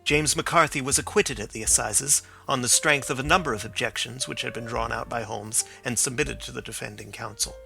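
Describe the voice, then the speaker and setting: A man narrating an audiobook in a steady storytelling manner. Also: medium pitch, a little fast, vocal fry, few pauses, soft background music. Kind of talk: scripted narration.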